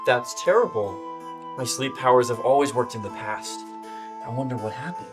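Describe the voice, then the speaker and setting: A young man playing a gallant, drowsy moon knight in children's theater, with a theatrical, deliberate manner. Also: mid-range voice, animated, lightly acted. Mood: concerned. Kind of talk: children's readers' theater, reading from script. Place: indoor costumed stage set, camera filming. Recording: music over the voice.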